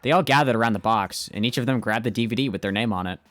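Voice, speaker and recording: A man's voice: lowish voice, very fast, fairly bright tone, dry recording, faint music behind, lightly acted. A man playing an anxious, earnest teenage schoolboy, narrating in the first person for an amateur game dub. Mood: tense narration.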